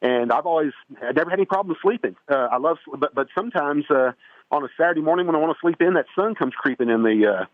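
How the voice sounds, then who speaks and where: A man's voice: on the high side, lively intonation, fast talker, no echo. A man guesting on a home improvement radio show comes through a narrow, tinny phone line with no room sound around him. He rambles in a folksy way, circling back and restarting his thoughts as he gives advice. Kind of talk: casual radio interview.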